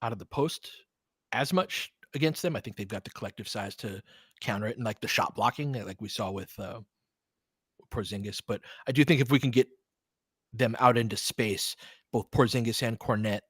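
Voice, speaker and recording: A man's voice: on the low side, normal speed, husky, no room sound, studio quality. A man doing casual live basketball commentary as a fan host, slangy and sweary, talking to the players.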